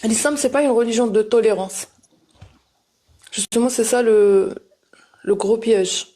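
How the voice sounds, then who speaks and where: A woman hosting a live discussion, talking briskly and directly as she questions her guests. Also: a little high, warm tone. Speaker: host.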